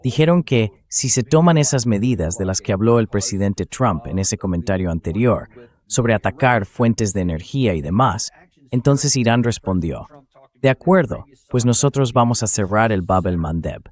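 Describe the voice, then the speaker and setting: A man's voice: lowish voice, lively intonation, moderate pace, a little mellow. An AI-generated man's voice hosting conversational news commentary. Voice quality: synthetic AI translation voice. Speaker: host commentator.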